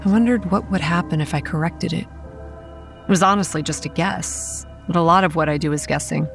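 A woman's voice: on the low side, moderate pace, vocal fry, soft background music. A woman playing a guarded, hot-tempered young campground manager who narrates and speaks in a horror audio drama, earnest with a sardonic edge. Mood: measured, explanatory. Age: young adult. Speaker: narrator and lead character.

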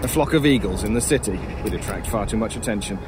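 A man's voice: fairly low pitch, quick pace, very noisy, lightly acted. A man playing a sinister talking crow-like scavenger in an audio horror drama, formal, erudite and drily mocking. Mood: cold, mocking.

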